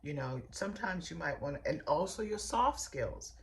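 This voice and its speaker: A middle-aged woman hosting a motivational podcast lesson, hoarse from a summer cold, with a raspy, bassy voice and a chatty manner that speaks straight to her listeners. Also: lively intonation, moderate pace, slight background noise. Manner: warm, chatty, direct address to listeners. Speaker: podcast host, hairstylist and coach.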